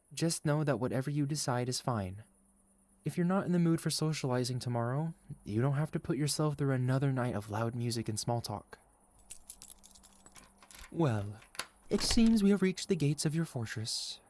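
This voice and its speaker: A man playing a gentle, caring young boyfriend in an intimate ASMR roleplay, speaking softly and sincerely to an anxious partner. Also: medium pitch, no room sound, close to an everyday voice. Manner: soft, sincere, tender, halting when worried.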